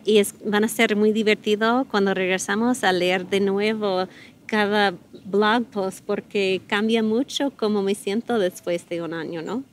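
A woman's voice: medium pitch, fairly even intonation, unhurried, slight background noise. A middle-aged American woman, a native English speaker, talking in an interview in careful learner Spanish with many fillers and restarts. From United States. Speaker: guest.

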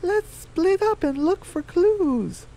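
A man voicing a sharp-tongued, fed-up female chicken in a radio comedy, in a snippy, squeaky falsetto. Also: expressive, slow pace, full character voice. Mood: wry.

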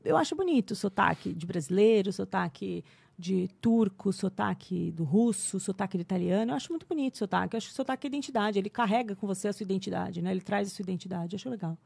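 A Brazilian woman in her thirties, an English teacher, explaining things in an animated podcast chat and laughing often. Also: mid-range voice, quick pace, vocal fry, breathy edge, dry recording. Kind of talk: podcast conversation.

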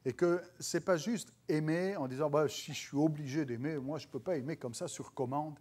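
A man in his thirties with a French accent preaches from a church stage before dark curtains. His voice rises with emphasis whenever he quotes scripture. He speaks into a headset mic. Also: fairly high pitch, fairly quick, husky. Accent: French (France). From France. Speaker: pastor. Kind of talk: sermon.

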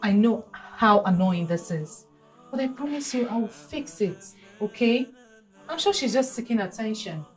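A woman playing a calm, capable assistant. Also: fairly high pitch, moderate pace, soft background music, lightly acted, rough recording. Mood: reassuring.

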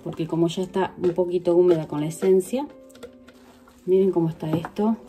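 A woman's voice: lowish voice, fairly even intonation, a little fast, mellow. Woman vlogger, 40s, chatty home demo, phone mic, music under her voice. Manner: chatty, addresses viewers directly, working while talking. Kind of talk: casual how-to demonstration. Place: home kitchen, indoors. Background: background music.

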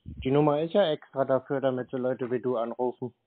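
A man chatting casually on a call, answering in short, deadpan, needling remarks. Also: medium pitch, brisk. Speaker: scam baiter taking calls. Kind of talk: casual phone chat. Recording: dull roomy phone-quality audio.

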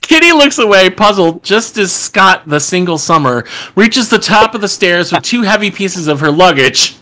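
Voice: mid-range voice, very animated, moderate pace, pushed and bright. A person on a video call reading stage directions aloud, with exasperated, sweary joking asides. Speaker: table read participant reading stage directions. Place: home room, remote video call.

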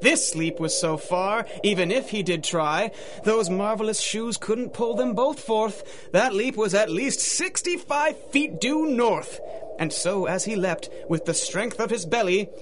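A man's voice: high-pitched, expressive, measured pace, noisy background. A man giving theatrical, dramatic narration in rhyming storybook verse for a radio drama.

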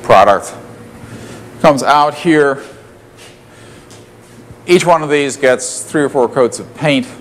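A man's voice: mid-range voice, animated, slow pace, long pauses. Man guiding a noisy factory tour. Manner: plain explanatory, with frequent fillers and restarts. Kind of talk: walking tour narration. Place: loud factory floor. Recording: factory noise behind voice.

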